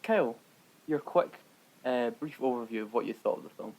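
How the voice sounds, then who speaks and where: A man's voice: mid-range voice, normal speed, darkish tone, poor audio. A man chatting casually as a podcast host who guides a discussion between friends. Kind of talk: casual chat.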